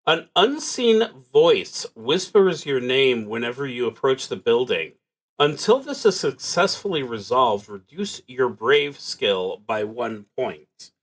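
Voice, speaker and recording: A man playing a solo tabletop roleplaying game on a stream, reading prompts aloud and thinking out loud in a halting, musing way. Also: mid-range voice, big pitch swings, rough recording.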